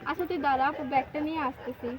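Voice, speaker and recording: A woman's voice: high voice, very fast, close to an everyday voice. A woman playing a protective, anxious elder sister with a Bangladeshi accent, talking urgently in an amateur skit. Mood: reassuring. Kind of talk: amateur horror-comedy skit acting. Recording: noisy location sound with ambience.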